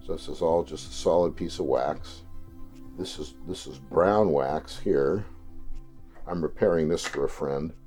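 A man's voice: a bass voice, unhurried, mellow, faint music behind. A man, a dentist and collector, talking unhurriedly at home about his old machines, with hesitant fillers. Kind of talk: casual explaining.

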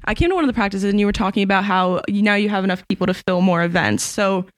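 A woman hosts a casual school podcast with a fast, upbeat delivery. The sound is dry and close, with no room around her. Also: medium pitch, no echo. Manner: upbeat, quick interviewer. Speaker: student podcast host. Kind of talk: casual chat.

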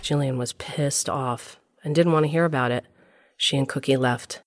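Middle-aged narrator, wry, confiding, deep female register, dry close sound, scripted audio drama storytelling. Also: moderate pace, dry recording.

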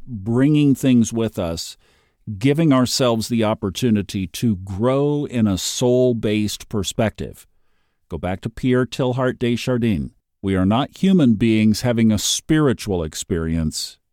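A man's voice: fairly low pitch, animated, measured pace, a little mellow, dry recording, studio quality. A man in his fifties hosting a daily astrology podcast, chatty and upbeat, laughing easily. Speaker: podcast host.

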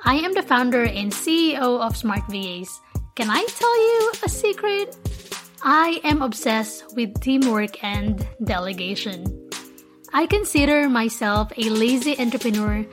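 A woman's voice: a little high, animated, unhurried, music in the background. A woman from the Philippines, speaking as a podcast host introducing and interviewing a guest.

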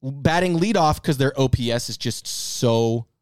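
A man's voice: medium pitch, a little slow, darkish tone, clean tone, dry recording, clean studio sound. A man co-hosting a casual sports banter podcast, talking in a rambling, emphatic, slangy way. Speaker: podcast co-host.

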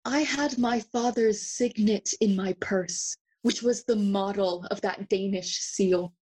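A husky young prince in a Shakespeare audio play. The delivery is theatrical and unhurried, full of grief and passion. Lines slip between English and Spanish. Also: female voice, mid-range voice, a little mellow, low-quality recording. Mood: intense, wry recounting. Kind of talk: bilingual Shakespeare audio drama acting.

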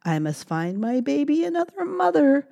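A woman's voice: high-pitched, big pitch swings, moderate pace, creaky, warm tone, highs cut off, voice pushed up, exaggerated voice. A woman doing a fretful mother mouse. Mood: fretting. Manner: fretting, sing-song. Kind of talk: storybook reading.

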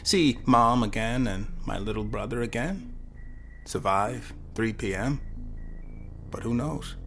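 A man playing a confused, fatalistic teenage boy who narrates his own short story in halting, self-correcting fragments. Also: medium pitch. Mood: resigned, anxious. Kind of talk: first-person dramatic short-story performance.

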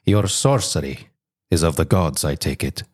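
A man playing an imperious, angry pagan Roman governor in a religious audio drama, speaking in a theatrical, deliberate way. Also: a bass voice, darkish tone. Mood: scornful.

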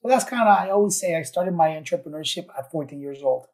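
A man's voice: a little high, lively intonation, fast talker, a little mellow. A man from Ecuador, a podcast guest, telling his story in an upbeat, enthusiastic way. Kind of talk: casual interview chat.

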